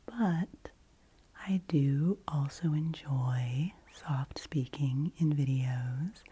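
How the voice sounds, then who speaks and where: Deep-voiced woman whispering softly. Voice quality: deep low voice. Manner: alternates soft low speaking with breathy whispers. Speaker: ASMR channel host. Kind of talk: ASMR soft speaking and whispering ramble. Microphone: close-up ASMR microphone.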